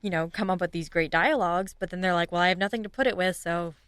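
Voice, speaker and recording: A woman with a slightly low voice talks as a podcast guest. Her delivery is informal and conversational, like someone chatting through ideas with a colleague. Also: fast talker, fairly bright tone, clear, resonant tone, dry recording, studio quality. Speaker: podcast guest, audio drama writers' room leader. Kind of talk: casual conversational interview.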